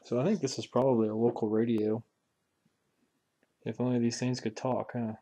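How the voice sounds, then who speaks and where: A man's voice: medium pitch, little pitch movement, normal speed, mellow. Folksy man talking through a hands-on repair. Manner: folksy, musing aloud while handling parts. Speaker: hobbyist radio restorer presenting a repair video. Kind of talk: casual unscripted hands-on walkthrough.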